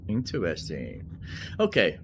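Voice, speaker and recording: A man's voice: low voice, wildly swooping pitch, a little slow, noisy background. A man hosting a gaming video, giving casual, informal commentary and explaining as he plays. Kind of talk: casual let's play commentary. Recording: game music underneath.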